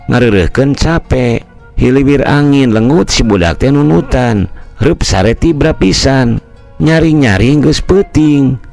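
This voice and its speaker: A man's voice: mid-range voice, creaky, a little mellow. A man with a Sundanese accent narrating a scripted folk tale as a traditional storyteller.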